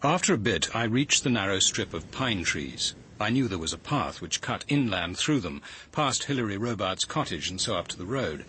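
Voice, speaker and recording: An actor playing a grave, reflective detective, narrating in a measured, literary way in a radio drama. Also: male voice, on the low side, very animated, bright, forceful tone, a little hiss or hum, low-quality recording. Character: grave, reflective male police detective recounting his evening.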